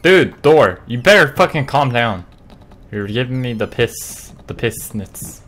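A man in his twenties giving jokey, sweary, excitable gaming commentary into a close desk microphone in a room at home. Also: medium pitch, unhurried, slight background noise. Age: 20s. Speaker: gaming video host. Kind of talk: casual let's play commentary. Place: small home room, indoors. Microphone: close desk microphone with pop filter. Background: game music.

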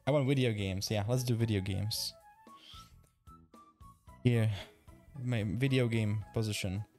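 A man's voice: lowish voice, fairly even intonation, measured pace, darkish tone, soft background music. A young man from the Czech Republic with a Czech accent, a streamer chatting casually, reading chat aloud and swearing now and then. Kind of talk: casual live-stream chat while gaming.

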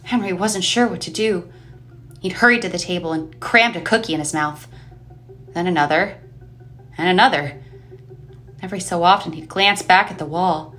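A woman's voice: fairly low pitch, normal speed, long pauses, a bit bright, slight background noise. A woman reading dramatic scripted narration for an eerie audio play. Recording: music under the voice.

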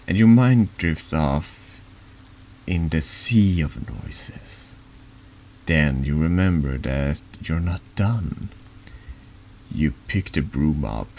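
A man with a deep voice narrating a horror text game in a deliberate, dramatic ASMR storytelling style. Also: vocal fry, a little mellow, slight background noise, low-quality recording. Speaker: streamer reading a text adventure game aloud.

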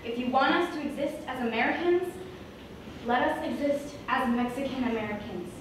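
Actress as a high, echoey, spirited young heroine. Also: female voice, normal speed, natural voice. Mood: earnest, firm. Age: young adult. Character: spirited, indignant young Mexican American heroine, bossy yet caring. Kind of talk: stage acting in a comic musical. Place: small indoor theatre stage, far camera. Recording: echoey stage from far off, audience noise.

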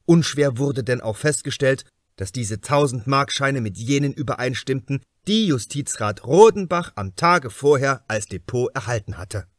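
A man's voice: medium pitch, lively intonation, moderate pace, dry recording, clean studio sound. A man reading a crime novel aloud as a scripted audiobook narration.

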